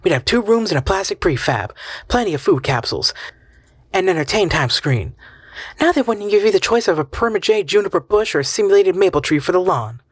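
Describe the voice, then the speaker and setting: A man's voice: expressive, brisk, slightly rough, no room sound, clean studio sound. A man voicing a desperate, weary middle-aged wife whose voice rises hysterically. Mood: bitter. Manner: rising hysterical pitch.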